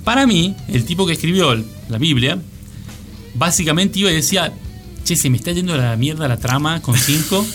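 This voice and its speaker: A man's voice: a little high, animated, fairly quick, music in the background. A man with an Argentine accent chatting comically on a radio panel, colloquial and free with swearing. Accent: Argentine Spanish. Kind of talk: casual comic chat. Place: radio studio with music behind.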